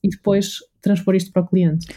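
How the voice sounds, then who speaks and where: A woman's voice: mid-range voice, little pitch movement, a little fast, warm tone. A woman with a European Portuguese accent giving conversational explanations as an interview guest, articulate and often listing her points. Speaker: voice and communication coach, interview guest.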